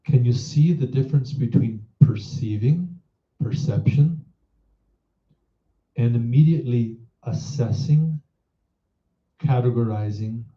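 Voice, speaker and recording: Very slow-spoken man guiding meditation, roomy echo. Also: medium pitch, mellow. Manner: measured, instructive, posing reflective questions. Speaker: meditation teacher. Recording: roomy echo, dull muffled sound.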